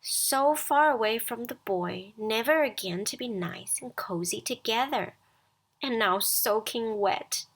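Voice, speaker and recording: Çok ağır tempolu kadın masal anlatıcısı. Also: female voice, a little high. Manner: expressive, deliberate read-aloud delivery. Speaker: storyteller. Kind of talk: scripted children's bedtime story narration.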